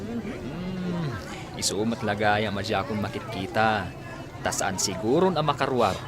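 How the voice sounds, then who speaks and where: A man's voice: on the low side, very animated, unhurried. A man acting a friendly, curious young visitor with an Ilocano accent in a radio comedy drama.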